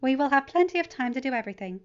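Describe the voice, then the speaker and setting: A woman's voice: sits high, expressive, rapid-fire, smooth, lo-fi sound, voice pushed up. A woman reading a story, voicing a caring, organised motherly woman. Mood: patient, reminding.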